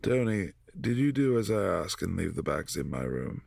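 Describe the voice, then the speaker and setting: A man voicing a brooding, possessive adult vampire prince in a boyfriend ASMR audio drama, speaking softly and often in a hushed whisper. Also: low-pitched, sing-song, moderate pace, gravelly, dry recording, heavily acted. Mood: calm, concerned.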